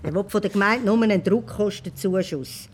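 An actress in a radio play playing a disapproving, matter-of-fact village official. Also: female voice, mid-range voice, moderate pace, slight background noise, lightly acted. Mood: dry, mocking. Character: dry, disapproving female village council official.

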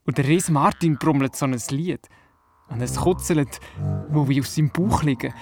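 A performer playing an excitable young boy who retells his dream vividly and naively in a children's radio play. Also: medium pitch, moderate pace, lightly acted. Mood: wide-eyed, fearful. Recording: music behind the voice. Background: music.

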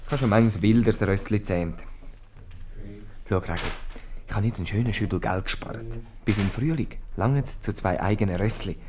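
A proud, confident young coachman in love, played by a man, with a fairly low voice and a Swiss accent. He speaks as in an old radio comedy, over clear noise and clicks. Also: moderate pace, a little mellow, natural voice. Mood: calm, determined. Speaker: actor. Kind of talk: 1950s radio comedy acting.

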